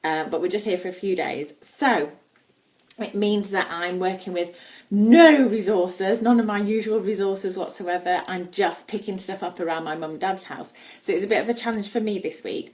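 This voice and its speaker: Northern English woman, somewhat low-pitched, informal live-stream host, chatty and explanatory. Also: darkish tone. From northern England, Yorkshire. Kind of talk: casual live demo chat. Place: indoors at a family house.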